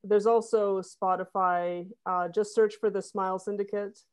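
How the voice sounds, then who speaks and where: Woman podcast guest chatting casually, medium pitch, kinda rambles as she explains stuff. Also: fairly even intonation, a little slow, clear, resonant tone.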